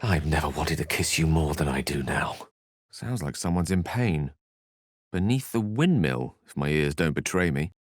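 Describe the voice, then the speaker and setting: A man's voice: a bass voice, animated, moderate pace, dry recording, studio quality. An actor playing an eloquent, learned wizard in a video game, articulate and bookish, wry and earnest in his florid phrasing. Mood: pensive. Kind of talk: scripted video game character dialogue.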